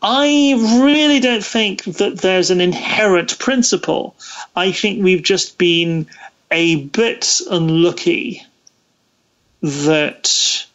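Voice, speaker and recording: A man in his thirties talking as an interview guest in a thoughtful, halting way, restarting his phrases. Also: high voice, fairly bright tone, muffled recording. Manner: hesitant, thoughtful, halting delivery with stammered restarts. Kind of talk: casual interview conversation.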